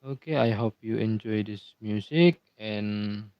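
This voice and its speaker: A low, gravelly man's voice with an Indonesian accent, talking slowly in halting English. He keeps thanking his viewers and filling gaps with "uh" as he hosts a live stream. The audio is dry and dull, like lo-fi stream sound. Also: no room sound. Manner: halting non-native English, many repeated thank-yous and fillers. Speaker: musician hosting a live stream. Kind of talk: casual live-stream chat between songs.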